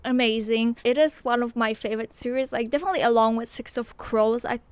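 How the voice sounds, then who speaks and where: A woman's voice: on the high side, fairly even intonation, a little fast, clean tone, dry recording. Chatty woman reviewing books. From Poland. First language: Polish. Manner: chatty and enthusiastic, many fillers like "like" and "um". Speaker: book reviewer. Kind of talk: casual book review vlog. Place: small bedroom with bookshelves, indoors. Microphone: handheld microphone close to mouth.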